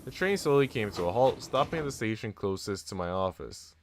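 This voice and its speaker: A man's voice: lowish voice, very animated, brisk. A man on a live stream, reading visual novel text aloud and chatting casually with viewers. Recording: noisy mic with typing sounds.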